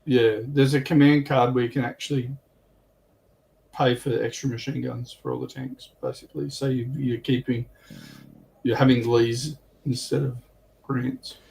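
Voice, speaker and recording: A man's voice: mid-range voice, steady tone, normal speed, creaky, a little mellow, rough recording. A man talking casually as a guest in a livestream discussion.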